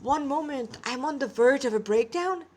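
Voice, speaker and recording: A woman's voice: high voice, animated, moderate pace, darkish tone. A woman voicing a sardonic young dead cadet boy in a story, exasperated and incredulous. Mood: bewildered.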